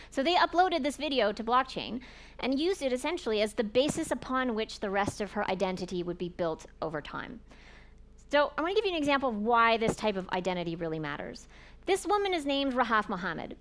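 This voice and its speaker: Higher-voiced woman giving a talk. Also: fairly bright tone. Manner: explanatory, earnest, addresses audience directly. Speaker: conference speaker. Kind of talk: presentation talk.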